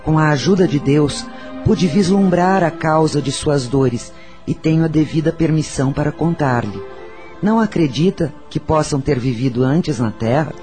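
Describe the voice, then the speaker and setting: Brazilian radio drama role: wise, grave spiritual guide played by a man, fairly high male voice, measured preachy storytelling, soft music behind. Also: natural voice. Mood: solemn, consoling. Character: solemn, wise spiritual counsellor telling of past lives. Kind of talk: radio soap opera acting, storytelling.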